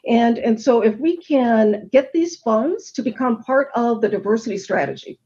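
A woman's voice: on the high side, expressive, moderate pace, dark tone, muffled recording. A middle-aged woman on a webinar panel, earnestly explaining a problem and walking through statistics. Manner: earnest, explanatory, lists facts and figures. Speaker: panel guest on supplier diversity.

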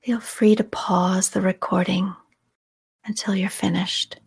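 A woman guides a meditation in a very breathy, whispery voice. She speaks slowly and calmly, leaving long pauses between soft, soothing instructions. Her pitch sits in a middle range for a woman. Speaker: meditation guide and podcast host. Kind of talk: guided meditation, slow scripted narration.

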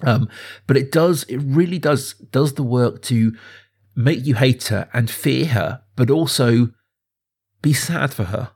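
A man talks casually about film as a podcast host. His voice sits at a middling pitch and has a husky, slightly rough edge. He leans on phrases and repeats them for emphasis. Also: unhurried, a little mellow.

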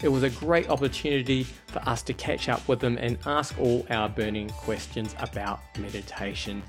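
A man's voice: medium pitch, steady tone, normal speed, faint music behind. Chatty self-deprecating male podcast host. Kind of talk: scripted podcast intro, then casual interview.

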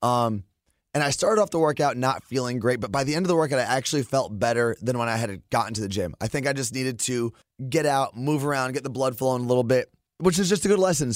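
A man hosting a fitness podcast in a casual, rambling, upbeat way, his voice a little hoarse. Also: mid-range voice, no echo, clean studio sound. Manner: rambling, upbeat, self-deprecating. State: losing his voice, a bit hoarse. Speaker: podcast host.

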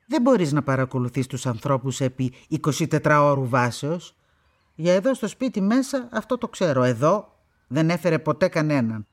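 A woman's voice: sits low, expressive. Radio drama: older female farm owner, stern, guarded, curt, dismissive. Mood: curt, defensive. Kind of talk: naturalistic radio drama acting.

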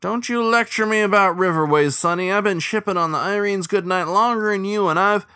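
A man's voice: high-pitched, takes it slowly, pushed and bright, clear, resonant tone, dry recording, studio quality, voice pushed up, full character voice. A man voicing a cranky old male killdeer cook who scolds and snaps, in an audiobook reading. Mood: scolding. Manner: snappish, scolding. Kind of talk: audiobook character voice.

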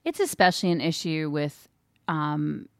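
A woman's voice: low-pitched, a little slow, no echo, clean studio sound. A woman chatting casually as a co-host on a podcast. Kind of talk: casual conversational podcast talk.

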